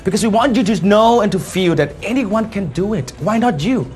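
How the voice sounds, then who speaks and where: Man, Thai accent, slightly high voice, emphatic motivational business speaker, repeats key phrases, music in the background. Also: moderate pace, darkish tone. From Thailand. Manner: emphatic, repetitive, uses rhetorical questions. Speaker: network marketing leader and speaker.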